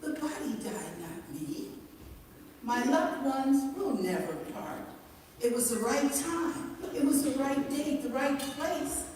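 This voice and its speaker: Woman, spoken-word poetry, slow dramatic delivery, lively swooping pitch, noisy distant hall recording. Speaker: poet reading their own poem at a memorial service. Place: large echoing hall at a memorial service. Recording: distant, echoey hall with clear background noise.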